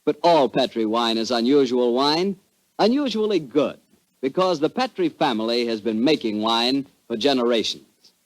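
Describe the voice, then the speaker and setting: An American man working as a 1940s radio announcer and sponsor pitchman. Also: animated, normal speed, low-quality recording. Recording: vintage 1940s radio broadcast.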